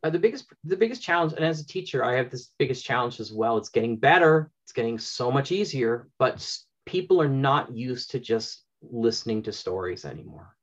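Man, fifties, medium pitch, effusive, rambling, casual interview guest, dull video-call audio. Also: expressive, a little fast, hardly any pauses. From Canada. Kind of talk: enthusiastic casual interview talk. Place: small home room with bookshelves, indoors.

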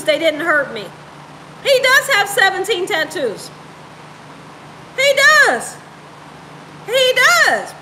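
A high, bright-voiced woman talks at an unhurried pace, playful and animated. She chats informally with her livestream viewers and likes to repeat a phrase for emphasis. She sounds like she is at home, speaking toward a phone at arm's length. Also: a little hiss or hum, rough recording. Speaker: cooking show host on a livestream. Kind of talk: casual live chat with viewers. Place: home room, indoors.

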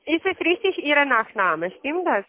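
A woman's voice: high voice, very animated, unhurried. A woman call-centre agent with a foreign accent, speaking non-native German on a phone call, hesitantly checking and repeating details. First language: not German. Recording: dull band-limited phone line.

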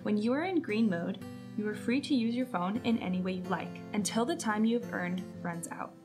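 A woman narrates a promotional product video from a script. Her voice sits at an average pitch, with guitar music playing clearly behind it. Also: normal speed.

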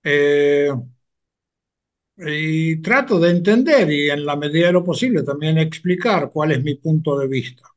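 A man, a retired sociologist answering interview questions over a video call with a headset, measured and hesitant, with frequent pauses. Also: fairly high pitch. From Argentina. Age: 70s. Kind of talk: slow, thoughtful interview answers. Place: home room with bookshelves.

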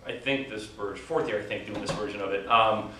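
A man's voice: lowish voice, fairly quick, sharp tone. American guy being interviewed, jokey and fake braggy, super echoey, kinda far from the mic. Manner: joking, mock-boastful. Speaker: actor and writer being interviewed. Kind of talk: casual interview chat. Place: indoor theatre set. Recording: echoey room, distant mic, faint noise.

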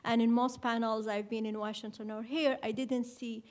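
A young woman from Iraq, an audience member, speaking earnestly and haltingly at a standing microphone in a lecture hall. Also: on the high side, level tone, a little mellow. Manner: earnest, hesitant, halting phrasing. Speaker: audience member asking a question. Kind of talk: public forum comment at a microphone.

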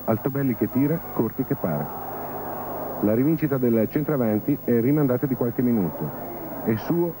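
A male football reporter reads scripted match highlights with a wry, ironic turn of phrase. His voice sits at an ordinary male pitch. The sound is an old television broadcast with clear noise over it. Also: animated, moderate pace. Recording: noisy old 1980 Italian television broadcast.

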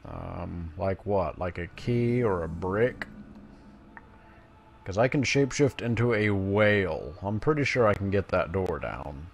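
A man casually commentates on a game he is playing. His low voice is creaky and swings about in pitch. He keeps it playful and joking, with faint noise behind him. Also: expressive, a little slow. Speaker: gamer commentating on own play. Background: video game sounds.

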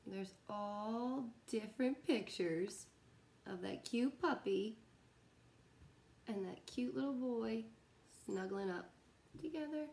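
A woman's voice: fairly high pitch, expressive, slow, gravelly, long pauses, a little mellow. A woman in her thirties reading a picture book aloud to young children in a sing-song way, recorded at home. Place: living room at home, indoors.

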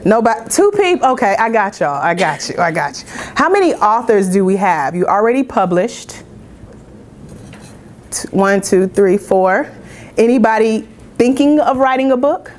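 A woman's voice: medium pitch, animated, moderate pace. A middle-aged woman from Georgia, giving an upbeat, interactive motivational talk in an indoor room. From Georgia, United States. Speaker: motivational speaker, business coach. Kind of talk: informal motivational talk to a small audience.

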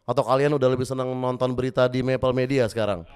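A young man hosting a comedic news monologue, his voice a bit hoarse, joking and rambling with self-mocking asides into a studio mic. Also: mid-range voice, level tone, fast, darkish tone. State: slightly hoarse voice. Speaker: host. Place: small studio with brick wall. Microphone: studio mic on stand with headphones.

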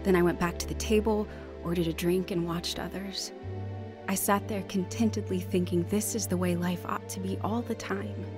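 An actress playing an anxious, earnest young wife terrified of death, in a radio drama. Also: female voice, fairly low pitch, steady tone, moderate pace, music in the background, natural voice. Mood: brooding. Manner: earnest, fretful. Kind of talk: radio drama acting and narration.

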